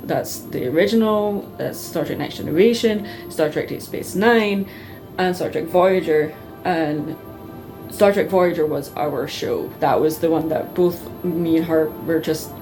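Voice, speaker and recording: A woman telling personal stories to her viewers in a casual, chatty, expressive way, like an enthusiastic fan. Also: lowish voice, poor audio. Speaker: vlogger.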